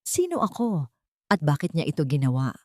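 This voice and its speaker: Woman performing wounded resolute heiress, solemn dramatic manner, lowish female pitch, audio drama. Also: wildly swooping pitch, fairly quick, a little mellow, dry recording, studio quality. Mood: faint, shaken.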